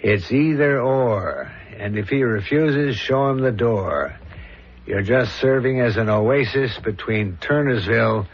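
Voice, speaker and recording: An actor playing an earnest, dogged homicide inspector in a 1970s radio drama, serious, probing and deliberate, on a dull old recording. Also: male voice, medium pitch, lively intonation, slight background noise. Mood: pondering, determined.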